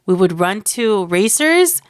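A woman's voice: medium pitch, very animated, slow pace, no room sound. A woman co-hosting a casual podcast chat, sounding nasal and congested from a cold, chatty and laughing often. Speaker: podcast co-host.

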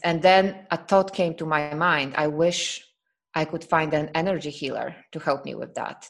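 A woman from Poland hosting a podcast, talking in an earnest, reflective way and often breaking into laughter. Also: sits low. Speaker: podcast host. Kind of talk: casual podcast chat.

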